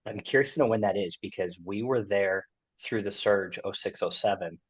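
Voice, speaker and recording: A man's voice: fairly low pitch, normal speed, slightly rough. A man in his forties, a veteran guest on a podcast, speaking casually and emphatically with frequent "you know"s. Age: 40s. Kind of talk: casual interview conversation. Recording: dull, muffled lo-fi audio.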